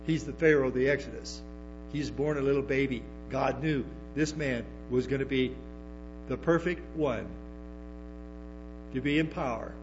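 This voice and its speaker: A man preaching a sermon to a congregation, stumbling through restarts and tossing in emphatic asides. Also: medium pitch. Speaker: pastor. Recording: dull lo-fi sermon recording with music and hum.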